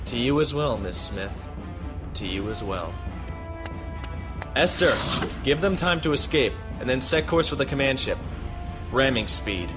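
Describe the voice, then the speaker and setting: An actor playing a resolute starship captain in a sci-fi audio drama, giving steady, terse orders. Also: male voice, medium pitch, measured pace, long pauses, over music, close to an everyday voice. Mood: grave resolve. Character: resolute, self-sacrificing male starship captain.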